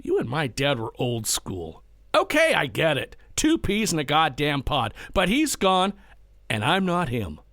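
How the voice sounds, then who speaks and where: An actor playing a defensive, stubborn ranch heir in an audio drama, with a halting, folksy manner. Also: male voice, fairly high pitch, wildly swooping pitch, fairly bright tone, dry recording, studio quality, exaggerated voice. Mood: awkward, placating. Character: defensive, stubborn ranch heir taking over from his father. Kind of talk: naturalistic full-cast audio drama acting.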